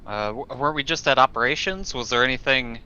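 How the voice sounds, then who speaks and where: A man's voice: mid-range voice, fast talker, sharp tone, smooth. A man playing a tabletop roleplaying game in a casual, hesitant, stammering way, half in character. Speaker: tabletop player, playing the captain. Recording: dull lo-fi sound, faint noise.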